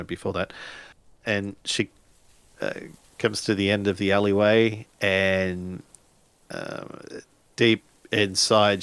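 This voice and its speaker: A man improvising narration for a tabletop role-playing game, pausing often mid-sentence. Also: low-pitched, vocal fry, fairly bright tone, no room sound. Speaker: tabletop role-play game storyteller or player.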